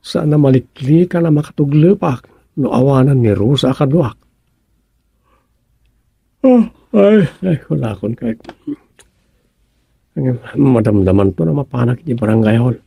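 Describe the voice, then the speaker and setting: Husky, creaky village captain. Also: male voice, medium pitch, lively intonation, moderate pace, long pauses, warm tone, no room sound. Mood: musing to himself. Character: sleepy, good-humoured village captain and husband who writes stories. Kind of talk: Ilocano radio comedy drama acting.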